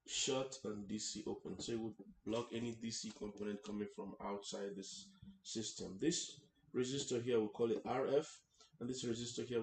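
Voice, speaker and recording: A man teaching a hands-on technical tutorial in an informal way, haltingly, often repeating and rephrasing his words. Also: lowish voice, a little mellow. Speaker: instructor hosting an electronics tutorial series. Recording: echoey room, faint background noise.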